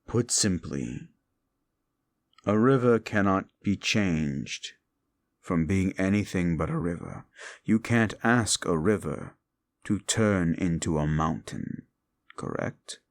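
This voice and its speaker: A man voicing a brooding, bitter fallen demon who lectures the listener in a slow, condescending way in an audio roleplay drama. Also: low voice, very animated, full character voice. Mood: lecturing.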